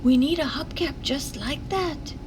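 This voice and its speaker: A woman's voice: high-pitched, unhurried, background noise, voice pushed up. A woman voicing an earnest, determined young girl in a children's storybook, with an insistent manner. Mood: pleading.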